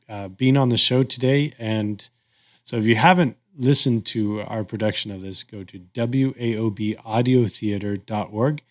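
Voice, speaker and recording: Male podcast host, lowish voice, thoughtful and measured delivery with pauses between phrases, for reflective conversation. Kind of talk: reflective discussion.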